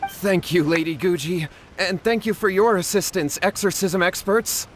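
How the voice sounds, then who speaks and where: A man's voice: high-pitched, normal speed, slight background noise, close to an everyday voice. A man voicing a worried, apologetic young brother in a video game, polite and concerned. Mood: grateful.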